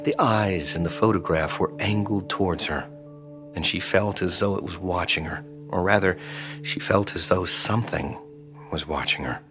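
An ominous male narrator for a supernatural horror audio drama. His voice is very low, measured and suspenseful, dropping toward a hush. Music plays clearly behind him. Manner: measured, hushed at phrase ends, suspenseful.

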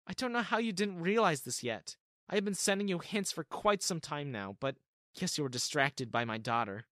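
A man's voice: fairly high pitch, big pitch swings, moderate pace, fairly bright tone, no room sound, clean studio sound, pitched above the performer's own voice. A man voicing a scheming, flirtatious middle-aged woman who talks in a wheedling, insistent way. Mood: coy.

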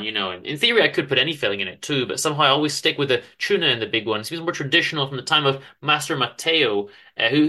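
Guy reading as mopey mild husband in a story, stammers a bit, bright voice. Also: medium pitch. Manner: hesitant, stammering, chatty. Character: mild, wistful, mopey married man, Chester. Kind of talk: amateur dramatic story reading.

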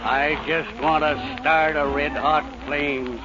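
A man's voice: on the high side, flat delivery, slow. A man reciting a sappy, folksy comic love-song parody in 1940s radio style. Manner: folksy, mock-tender. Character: drawling, sappy lovestruck crooner reciting lyrics. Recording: muffled old radio recording, music behind.

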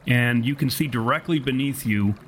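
A man narrating a tabletop horror game as game master, in descriptive, deliberate, self-correcting storytelling. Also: mid-range voice. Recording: steady background noise.

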